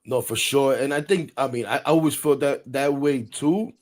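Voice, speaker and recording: A man in his forties hosting a casual sports talk show, animated and rambling, repeating words and laughing often. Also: medium pitch, moderate pace. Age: 40s. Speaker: podcast host.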